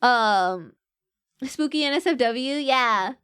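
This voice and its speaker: Woman streamer, rambling casual chat, somewhat high voice, pitch all over the place. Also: lively intonation, slow, a bit bright, clean tone. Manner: chatty, rambling with fillers and self-corrections; pitch swings widely with mood.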